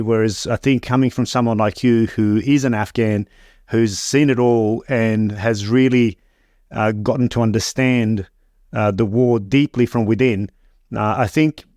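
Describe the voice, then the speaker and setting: A man hosting an interview podcast, reading an introduction and then asking questions in a measured way, often restarting sentences. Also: on the low side, a little mellow, no echo, studio quality. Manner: measured, stumbling restarts when unscripted. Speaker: podcast host.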